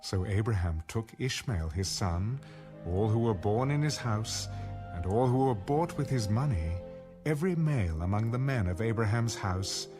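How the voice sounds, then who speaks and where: A man's voice: on the low side, expressive, normal speed. A man narrating scripture from a script for a dramatized audio Bible. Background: music.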